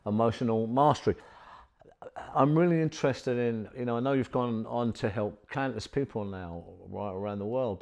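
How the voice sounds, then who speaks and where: A man's voice: lowish voice, darkish tone. Effusive rambling male podcast host. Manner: effusive, rambling, many fillers. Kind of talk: interview. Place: studio.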